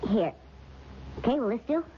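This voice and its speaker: Wry, skeptical woman played by an actress, high-voiced. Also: expressive, normal speed, natural voice. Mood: wry, dismissive. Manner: dry, sarcastic. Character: wry, skeptical woman teasing her hot-tempered partner. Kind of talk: old-time radio drama acting. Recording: muffled, very noisy old radio recording.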